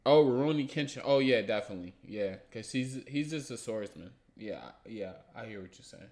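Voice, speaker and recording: A man's voice: medium pitch, lively intonation, moderate pace, a little mellow. An adult man co-hosting a podcast in casual, conversational talk. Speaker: podcast co-host. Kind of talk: casual chat about anime.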